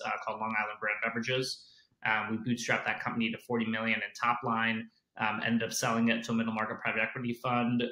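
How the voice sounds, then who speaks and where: A man in his thirties with an American accent, originally from South Africa, talking casually as a guest over a video call from his home office, with frequent fillers. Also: medium pitch. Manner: relaxed, many "uh" and "you know" fillers. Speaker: venture capital investor guest. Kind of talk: casual interview. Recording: echoey room on a video call.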